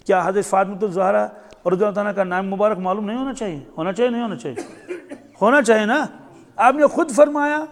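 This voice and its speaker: A man preaches in a high-pitched voice. He teaches in a storytelling sermon style, repeating phrases and questioning his listeners. His words ring out with long reverberation in a large hall.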